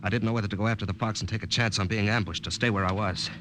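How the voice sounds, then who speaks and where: An actor playing a wary, hard-boiled American army captain in a 1950 radio drama, colloquial, with an American accent. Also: male voice, lowish voice, fairly even intonation, rapid-fire, lightly acted. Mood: tense, suspenseful. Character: plain-spoken, wary American army captain on a secret mission. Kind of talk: 1950 radio drama acting with first-person narration. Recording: noisy old 1950s radio broadcast.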